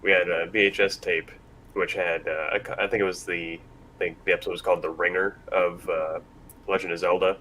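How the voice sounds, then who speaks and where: Deep-voiced man hosting, bright tone. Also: little pitch movement, a little fast. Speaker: panel host. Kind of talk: casual panel discussion. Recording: faint background noise.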